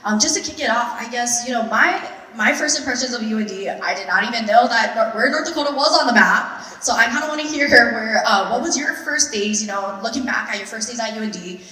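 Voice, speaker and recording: A woman from Hawaii, an airline pilot, moderating a panel in an upbeat, animated way. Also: a little high, fairly quick, hardly any pauses. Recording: distant mic in echoey hall.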